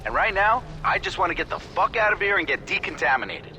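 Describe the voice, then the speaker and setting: A cocky young soldier for hire in an action game. His voice sits high and sharp and jumps around with flippant, crude energy. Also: big pitch swings, fast talker, slight background noise, exaggerated voice. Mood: dismissive, annoyed. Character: brash, cocky, carefree young mercenary soldier. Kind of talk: video game cutscene acting.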